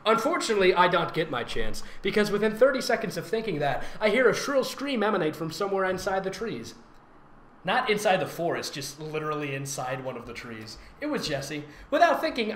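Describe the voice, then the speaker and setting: A bright-voiced comic reader who performs amateur fiction theatrically and breaks off for mocking commentary. The delivery swings up and down a lot and slips easily into laughter. The room is echoey, with a little noise. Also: medium pitch, big pitch swings, fairly quick. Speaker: fan fiction reader and host. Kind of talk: comic read-aloud with riffing commentary. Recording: roomy echo with faint background noise.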